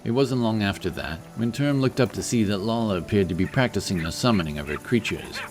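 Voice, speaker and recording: A man's voice: on the low side, expressive, fast, darkish tone. A man reading scripted fantasy narration as a storyteller, with music behind his voice.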